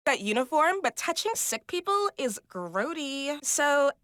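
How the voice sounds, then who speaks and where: A woman's voice: sits high, very animated, a little slow, a bit bright, no room sound, studio quality, exaggerated voice. A woman voicing a ditzy, snobby teenage Valley girl in a video game, with gushing, snooty slang and a Valley girl accent. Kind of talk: video game character voice lines.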